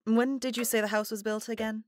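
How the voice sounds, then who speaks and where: A woman's voice: a little high, little pitch movement, brisk, lightly acted. A woman acting as a pleasant, curious wife in an audio drama. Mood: admiring, curious. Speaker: house buyer. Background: faint music.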